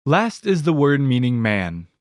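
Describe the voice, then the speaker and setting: A man's voice: mid-range voice, very animated, measured pace, no room sound, clean studio sound. A man narrating a language lesson audiobook, announcing each word in a fixed scripted pattern.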